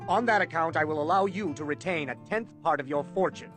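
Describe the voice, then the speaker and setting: A man voicing a cold, imperious lord and royal minister, measured, formal and contemptuous, in an audiobook. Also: fairly high pitch, a bit bright, faint music behind, close to an everyday voice.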